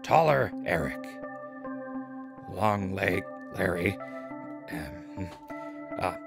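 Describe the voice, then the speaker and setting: An actor playing a folksy, upbeat radio host and newsreader in a post-apocalyptic settlement drama, chatty and theatrical. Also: male voice, deep voice, vocal fry, heavily acted. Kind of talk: radio drama news broadcast.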